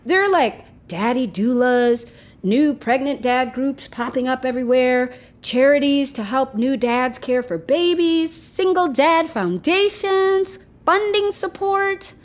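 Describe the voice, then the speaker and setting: A woman's voice: high-pitched, takes it slowly. An actress playing a breezy, sardonic, slangy woman who teases her panicking male partner in a naturalistic audio drama. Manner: flippant, teasing, slangy.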